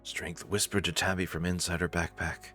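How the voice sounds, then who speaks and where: A man's voice: sits low, little pitch movement, moderate pace, sharp tone, faint music behind. A man reading game narration aloud as a let's player, adding casual, joking commentary. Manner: joking, conversational.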